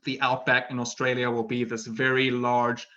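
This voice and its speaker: A man's voice: mid-range voice, monotone, normal speed, a bit bright, highs cut off. A male English teacher giving a casual webinar lesson, talking in a playful way and tagging his sentences with "right?". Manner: chatty, tags sentences with "right?"; laughs at himself, playful. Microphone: computer mic for an online webinar.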